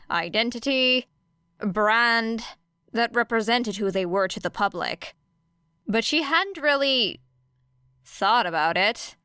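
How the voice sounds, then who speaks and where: A woman's voice: medium pitch, a bit bright, clear, resonant tone, no room sound, studio quality. A woman narrating a story as a scripted audiobook reading.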